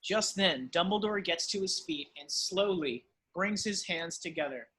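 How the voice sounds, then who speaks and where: A man's voice: high-pitched, animated, measured pace, pushed and bright, slightly rough, rough recording. A man reading screenplay stage directions as dramatic scripted narration.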